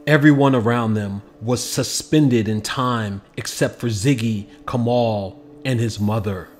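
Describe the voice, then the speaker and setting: A man reading a children's picture book aloud as an expressive, scripted narration. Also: mid-range voice, measured pace.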